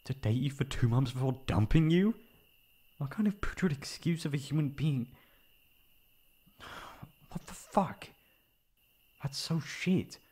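A man playing a caring, protective young best friend in an intimate ASMR roleplay, with a soft, breathy voice. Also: fairly high pitch, lively intonation, a little slow, pauses often. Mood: outraged. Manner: intimate, comforting, casual. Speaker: voice actor.

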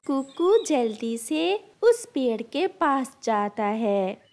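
A woman reads a children's story as its narrator. Her voice sits high, and she delivers the scripted lines very slowly.

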